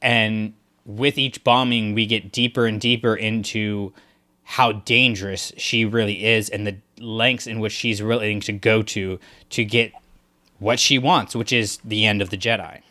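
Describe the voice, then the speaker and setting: A man's voice: lowish voice, fairly even intonation, unhurried, bright, forceful tone. A man co-hosting a podcast, talking in a loose, rambling way full of fillers. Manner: rambling, filler-heavy, enthusiastic. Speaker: podcast co-host. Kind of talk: casual discussion.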